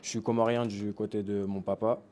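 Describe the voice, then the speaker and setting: A young man of eighteen introducing himself as a basketball player, speaking quietly. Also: fairly low pitch, little pitch movement, a little fast, slight background noise. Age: young adult. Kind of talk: self-introduction.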